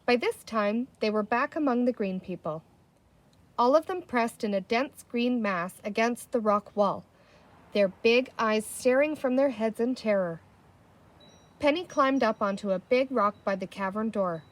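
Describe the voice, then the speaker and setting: A woman's voice: on the high side, a little slow. A woman narrating a children's storybook as a reader, in scripted narration.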